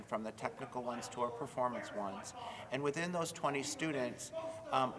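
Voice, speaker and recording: Bright-voiced man in a reverberant hall. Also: mid-range voice. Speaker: high school theater director. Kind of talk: interview remarks. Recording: reverberant hall with background noise.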